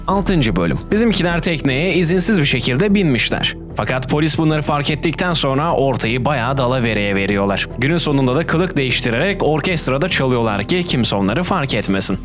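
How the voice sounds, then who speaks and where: A man narrating a scripted story recap in a casual, storytelling way with short, declarative sentences. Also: medium pitch. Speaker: video essay narrator.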